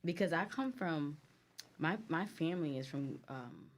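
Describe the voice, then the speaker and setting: A woman's voice: low-pitched, animated, unhurried. A woman with a Guyanese accent, a guest entrepreneur in a chatty, candid conversation. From United States, Guyanese family. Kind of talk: casual chat over cocktails.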